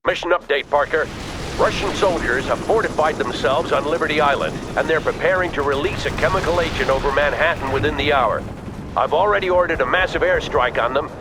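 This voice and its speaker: An actor playing a stern, resolute army colonel in a military video game, speaking in clipped, authoritative orders. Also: male voice, fairly high pitch, lightly acted. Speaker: military commander.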